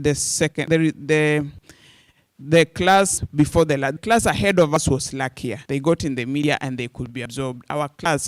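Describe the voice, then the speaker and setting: A man's voice: a little high, clean tone, no room sound, clean studio sound. A Kenyan man in his forties, a radio journalist speaking earnestly and directly to media students. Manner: earnest, direct, addresses listeners as "you guys".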